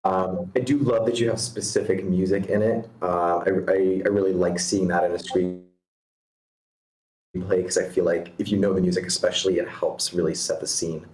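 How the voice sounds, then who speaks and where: A low-pitched man talks through casual, constructive notes on a script. He is halting, thinking aloud as he goes, with a roomy echo on his voice. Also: fairly even intonation, a little mellow, a little hiss or hum. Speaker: screenplay reader giving feedback. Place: online video meeting.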